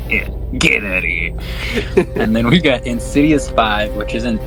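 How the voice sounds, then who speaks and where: A man's voice: lowish voice, expressive, takes it slowly, gravelly, low-quality recording. A man co-hosting a podcast, chatting casually about films in slangy, profane, laid-back buddy talk. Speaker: podcast host. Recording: music playing behind the voice. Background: music.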